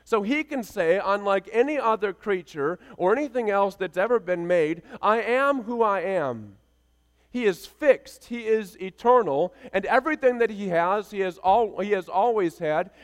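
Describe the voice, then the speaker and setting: High-voiced man preaching in a hall. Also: animated, measured pace, clear, resonant tone. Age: 30s. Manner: rhythmic repeated phrases, emphatic preaching. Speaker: pastor. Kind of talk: sermon. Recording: reverberant hall sound.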